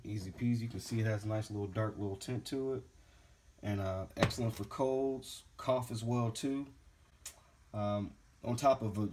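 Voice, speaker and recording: A man's voice: lowish voice, a little mellow, slight background noise. Laid-back man chatting in his kitchen. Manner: laid-back, rambling, says "man" and "bad boy" a lot. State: slightly congested. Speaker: home cook vlogger. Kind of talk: casual how-to vlog. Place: home kitchen, indoors.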